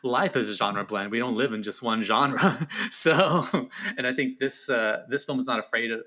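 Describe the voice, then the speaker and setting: Male guest critic, mid-range pitch with a bright, forward tone, reflective and casual, searching for the right words. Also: moderate pace. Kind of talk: casual film discussion.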